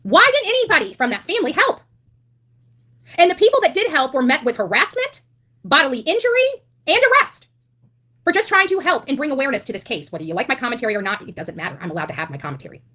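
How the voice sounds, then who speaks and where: A woman with a somewhat high voice gives impassioned online commentary. She is blunt and indignant, driving her points home with rhetorical questions and repeated phrases. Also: sing-song, quick pace, bright, forceful tone. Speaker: online commentator on a missing-child case.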